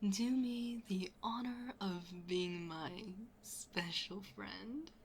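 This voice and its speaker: A woman playing a smitten young best friend in an intimate ASMR roleplay, speaking softly and hesitantly, often half-whispering. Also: mid-range voice, slight background noise, exaggerated voice. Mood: playful, happy. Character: smitten young best friend, shyly confessing, playfully teasing.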